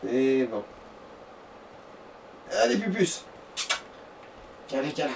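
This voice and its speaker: A man's voice: medium pitch, big pitch swings, slow, vocal fry, a little mellow, distant mic, a little hiss or hum, poor audio. A man giving casual, explanatory advice on a video game. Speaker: co-commentator advising the player. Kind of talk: casual gaming commentary.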